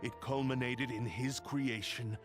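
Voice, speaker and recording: A man's voice: mid-range voice, fairly even intonation, measured pace, over music, lightly acted. A man voicing an arrogant, vengeful sentient sword in a video game, with grandiose, formal, theatrical speech. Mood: proud, solemn.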